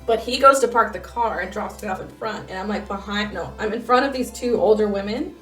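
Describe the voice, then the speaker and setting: A woman's voice: medium pitch, a little fast, over loud music. A woman co-hosting a podcast, chatting casually in slangy language and acting out what people said as she tells stories. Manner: slangy, filler-heavy, acts out quoted remarks. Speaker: podcast co-host. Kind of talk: casual chat, animated storytelling.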